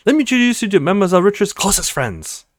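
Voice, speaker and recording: A man voicing a grandiloquent, flattering male French restaurant host in a visual novel, florid and courtly. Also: high voice, racing, no echo, clean studio sound, voice pushed up. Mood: effusive flattery.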